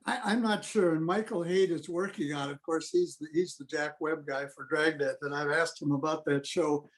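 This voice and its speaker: A man's voice: fairly high pitch, fairly even intonation, moderate pace, poor audio. A man presenting radio history informally on a video call, hesitant and repeating words as he goes. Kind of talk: informal anecdotal club discussion. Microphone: video call microphone.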